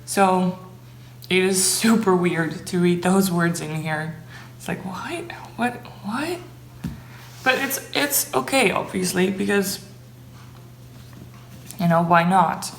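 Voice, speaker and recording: A young woman whose native language is Dutch talks to her viewers in a casual, gushing vlog style. She takes her time at an unhurried pace. The room is noticeably echoey, with faint noise behind her. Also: on the low side, gravelly. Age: young adult. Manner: enthusiastic, gushing about things enjoyed. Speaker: book vlogger.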